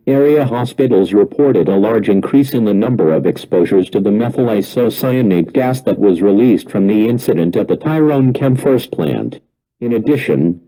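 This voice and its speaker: Dark-voiced man reading an emergency alert. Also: lowish voice, rough recording. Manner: formal, measured, impersonal. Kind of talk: scripted emergency alert announcement.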